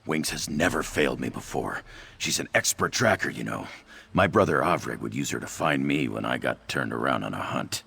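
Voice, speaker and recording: A man voicing an earnest adventurer devoted to his cat in a video game, with a deep, gravelly voice. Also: expressive, normal speed, pushed and bright, full character voice.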